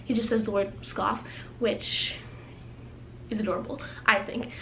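A woman's voice: medium pitch, steady tone, unhurried, creaky, fairly bright tone. A young adult woman talking casually and conversationally to viewers as a book vlogger. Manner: informal, conversational. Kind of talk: casual chatty video blog. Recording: roomy echo, faint background noise.

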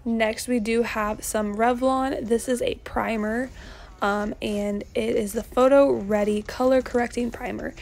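A young woman narrating a casual shopping haul, chatty and relaxed, with lots of ums and likes. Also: on the high side, a little slow, vocal fry. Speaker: vlogger.

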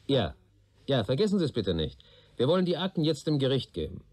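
A man's voice: mid-range voice, sing-song, brisk, darkish tone. An actor playing a sardonic, world-weary male police detective who probes and questions in a radio play. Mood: officious, probing. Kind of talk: radio drama dialogue.

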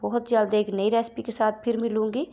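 A woman, hosting her cooking channel and narrating a recipe step by step in a polite, steady voice-over. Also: a little high, fairly quick. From Pakistan.

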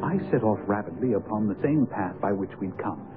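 An actor playing an earnest, courteous English doctor in a radio mystery. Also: male voice, on the low side, lively intonation, a little fast, lightly acted. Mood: narrating. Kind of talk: radio drama acting and narration. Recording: narrow-band old radio broadcast, music behind. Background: music.

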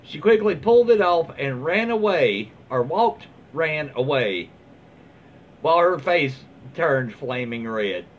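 A middle-aged man reads stories aloud casually, like a home video host. His voice sits a little high for a man, and his delivery is informal and halting. The recording is somewhat dull, with faint background noise. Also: sing-song. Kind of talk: casual read-aloud with off-the-cuff comments. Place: small room at home, indoors, seated in armchair.

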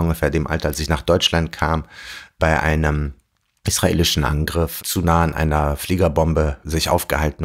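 A man in his forties from Germany, telling his life story as an interview guest in a thoughtful way, with pauses and fillers. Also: extremely low pitch. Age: 40s. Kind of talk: reflective storytelling.

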